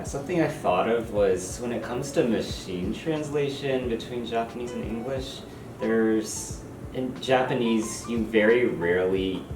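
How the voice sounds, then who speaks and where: A man with a very deep voice explains translation issues in a relaxed, explanatory way. He sits away from the mic in an echoing room, and music is clearly audible under him. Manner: casual, explanatory, frequent "like". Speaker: localizer. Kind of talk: interview conversation. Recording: echoey room, distant mic, music behind.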